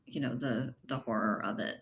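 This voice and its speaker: A woman with a low-pitched voice talks reflectively as an interview guest. She is thoughtful and conversational, often tagging her phrases for agreement. Some background noise sits behind her. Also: moderate pace, creaky. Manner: thoughtful, hedging, often ends phrases with right or you know. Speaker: podcast guest, writer and editor.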